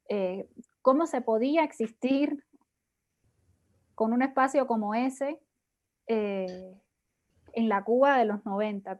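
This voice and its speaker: A woman hosting an interview, asking long questions in a measured way and searching for her words. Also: a little high. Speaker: host.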